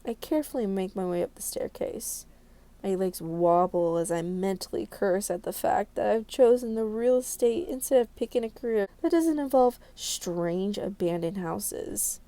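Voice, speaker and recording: A woman's voice: medium pitch, moderate pace, dark tone. A woman reading a visual novel aloud as a game video creator, narrating dramatically and expressively with casual asides.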